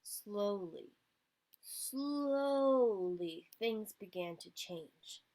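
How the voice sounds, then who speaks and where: A woman's voice: mid-range voice, animated, extremely slow, darkish tone, rough recording. A woman storyteller reading a picture book aloud to young children, expressively, addressing her listeners directly.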